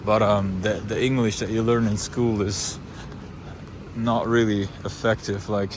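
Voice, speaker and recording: Young Swiss man, Swiss German accent, slightly low voice, chatty vlogger, noisy car recording. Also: level tone, unhurried. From Switzerland. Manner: loose, unscripted, many fillers and restarts. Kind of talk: casual rambling monologue.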